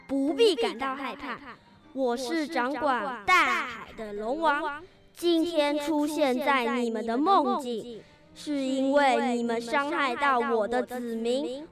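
Woman playing a high-voiced stern sea dragon king. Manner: solemn, declamatory.

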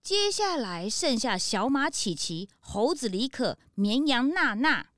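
A woman's voice: a little high, a little slow, dry recording, studio quality, soft background music. A woman playing an eager, inquisitive young animal detective's sidekick in a children's radio drama. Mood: earnest, deducing. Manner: inquisitive, excitable, earnest.

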